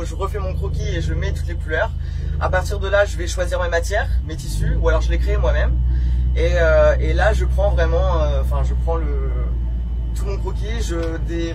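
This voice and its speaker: A woman fashion designer being interviewed in a limousine, talking casually and hesitantly with many fillers and restarts. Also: lowish voice. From France. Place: inside a limousine. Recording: loud car noise behind.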